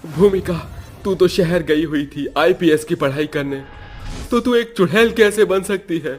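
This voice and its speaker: A man voicing an anguished village headman and father, pleading dramatically in an animated horror story. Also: on the high side, a little slow, voice pushed up, close to an everyday voice. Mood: tearful disbelief. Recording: music behind the voice.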